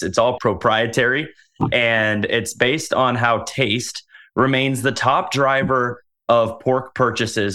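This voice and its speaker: A man's voice: fairly low pitch, a bit bright. A male podcast host who reads a scripted intro and then chats with his guest in an upbeat way. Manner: upbeat, enthusiastic.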